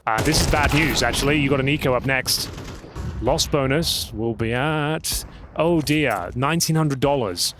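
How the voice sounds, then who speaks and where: A man's voice: mid-range voice, normal speed, a bit bright, faint music behind. A man giving playful live play-by-play commentary on an esports match. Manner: chatty, playful, coins pet terms. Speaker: esports caster. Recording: clicks and game gunfire behind.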